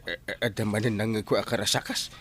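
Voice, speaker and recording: A man's voice: on the low side, steady tone, quick pace, fairly bright tone, faint music behind, close to an everyday voice. A man acting a jittery, easily frightened character in a comedic audio drama, stammering and repeating syllables.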